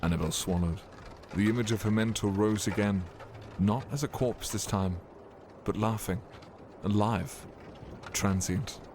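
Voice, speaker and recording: Low-voiced man narrating dark fantasy. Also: measured pace, noisy background. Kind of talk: scripted dramatic fantasy narration.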